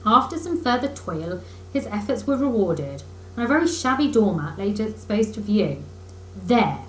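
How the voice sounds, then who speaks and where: A woman's voice: mid-range voice, big pitch swings, normal speed, fairly bright tone. A woman narrating a children's story aloud expressively, like a teacher reading to pupils. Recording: roomy echo, faint background noise.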